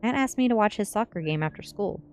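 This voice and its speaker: A woman's voice: medium pitch, animated, fast talker, a little mellow, slight background noise, pitched above the performer's own voice, natural voice. A woman voicing an eager, lovestruck teenage girl, the pleading best friend in a story. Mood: happy, surprised.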